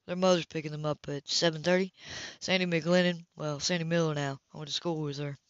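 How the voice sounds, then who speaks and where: Female reader as restless flirtatious man for a romance audiobook; clipped, teasing, lowered voice. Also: steady tone, fairly quick, no echo, muffled recording, natural voice. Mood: teasing, casual. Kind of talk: audiobook character voice.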